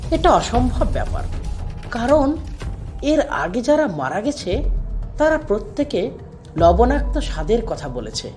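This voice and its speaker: A woman's voice: a little high, big pitch swings, unhurried, long pauses, a little mellow, faint music behind. A woman playing an earnest colleague in an audio drama, giving grave, insistent and foreboding warnings.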